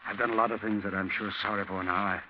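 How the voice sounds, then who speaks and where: An actor playing a reformed gangster convict, resigned and firm, talking in plain tough slang in a 1940s radio drama. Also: male voice, on the low side, level tone, quick pace, natural voice. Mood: resigned, earnest. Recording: noisy, muffled 1940s radio recording.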